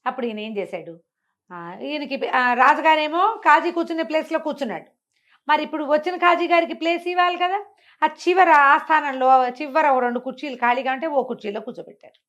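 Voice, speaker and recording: High-pitched woman telling a fable. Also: fairly quick, highs cut off. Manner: expressive, explanatory, with rhetorical questions. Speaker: storyteller. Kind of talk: animated oral storytelling of a moral fable, acting out dialogue.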